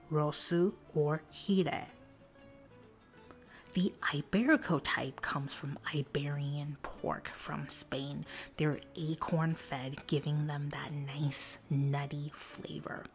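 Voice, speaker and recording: A woman narrating a food review in a soft, breathy whisper, calm and descriptive. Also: low-pitched. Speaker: food vlogger.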